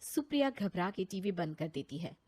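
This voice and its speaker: A woman's voice: fairly low pitch, expressive, moderate pace, warm tone, slightly rough. A woman reading scripted narration for a story.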